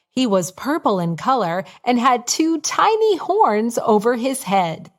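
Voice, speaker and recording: A woman reading a scripted bedtime story for young children in a simple, expressive storytelling manner. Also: a little high.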